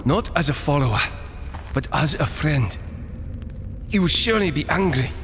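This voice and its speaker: A devoted, worried servant in an ancient audio drama. His voice is fairly high and lively, pleading and respectful, ringing in a long reverb over music. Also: normal speed. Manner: deferential, pleading. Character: loyal, anxious servant and friend of an ancient master.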